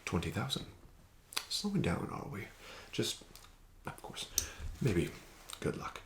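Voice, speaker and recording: A low, gravelly male voice speaks slowly and formally, dropping into soft whispered asides. His pitch rises and falls with lively, teasing inflection. A steady hiss of background noise sits under the recording. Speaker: ASMR roleplay casino dealer. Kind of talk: ASMR roleplay.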